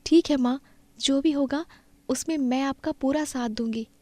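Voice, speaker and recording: A woman's voice: high voice, normal speed, darkish tone, dry recording, pitched above the performer's own voice, natural voice. A woman voicing a young village daughter, eager to follow her mother, in a cartoon story. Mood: spiteful, loyal.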